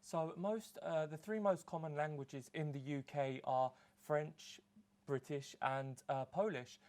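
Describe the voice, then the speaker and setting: A young man hosting a studio show, upbeat and a little halting as he asks questions. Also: fairly high pitch, fairly even intonation, a little mellow. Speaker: host. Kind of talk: studio presenting.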